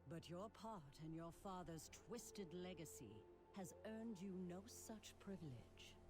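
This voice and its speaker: A stern dragon queen from a fantasy game. Her low voice is formal and imperious. Loud music swells behind her words. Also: animated, measured pace. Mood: stern, cool. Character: regal, stern dragon queen, guardian of life. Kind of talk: fantasy video game voice acting.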